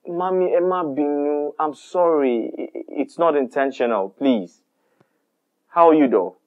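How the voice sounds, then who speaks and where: A man's voice: a little high, measured pace, phone-quality sound, natural voice. A man with a Nigerian accent playing an easygoing young boyfriend who brushes off quarrels and apologizes to his mother. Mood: apologetic. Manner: placating, laughing things off.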